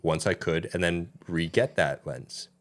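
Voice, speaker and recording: A man's voice: deep voice, a little slow. A young man whose first language is Danish, co-hosting a casual podcast chat and talking loosely with lots of fillers. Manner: loose and talkative, lots of "you know" fillers. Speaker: podcast co-host.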